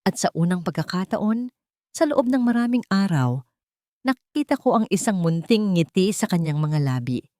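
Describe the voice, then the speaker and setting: A woman's voice: on the low side, sing-song, normal speed, mellow, no echo. A woman reading a scripted, dramatic first-person audio story as its narrator.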